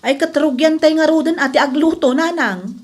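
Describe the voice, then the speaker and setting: Woman playing a very high-voiced, helpful daughter. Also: moderate pace, close to an everyday voice. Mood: curious. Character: helpful, soothing grown daughter of an eatery owner. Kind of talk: radio drama acting.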